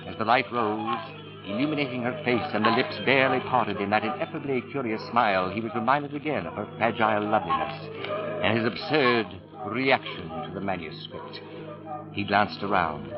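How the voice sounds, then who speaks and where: A man narrating 1940s radio suspense in a dramatic scripted style, with deliberate pauses and ominous build-up. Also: on the low side, close to an everyday voice. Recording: dull vintage radio recording with music.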